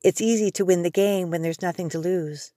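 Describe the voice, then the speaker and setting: A woman from Canada, a poet, introducing herself and reading her own poem in an earnest, expressive way. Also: lowish voice, brisk, dry recording, clean studio sound. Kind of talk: poetry reading.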